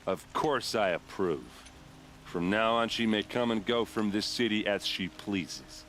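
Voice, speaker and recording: Deep-voiced grieving guard captain. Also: male voice, a little slow, fairly bright tone, a little hiss or hum. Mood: curt. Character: grieving, hard-drinking male captain of the guard. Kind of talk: cinematic video game acting.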